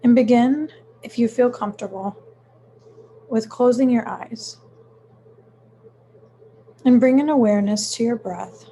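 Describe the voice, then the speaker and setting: A woman leading a guided meditation in a soothing, unhurried manner, with frequent pauses between short phrases. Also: on the high side, warm tone, slight background noise. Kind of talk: guided meditation, gentle instruction.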